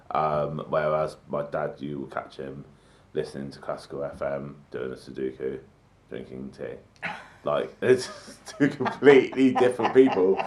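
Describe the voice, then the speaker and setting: Very deep-voiced young man, candid. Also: a little mellow. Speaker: interviewee. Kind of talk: casual interview answer.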